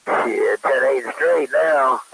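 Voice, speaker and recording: A man's voice: mid-range voice, slow pace, a bit bright, slightly rough, dry recording, poor audio. A man chatting casually over CB radio in a joking, coarse manner. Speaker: CB radio operator. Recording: static-laden CB radio transmission.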